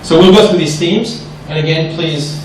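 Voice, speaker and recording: A man with a French accent gives an animated investment seminar to an audience, often checking in with "right?". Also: a little high, moderate pace, slight background noise. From France. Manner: animated, asks the audience questions, frequent "right?". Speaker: investment specialist presenter. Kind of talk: seminar presentation. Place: large echoey room with an audience. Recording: echoey room from far off.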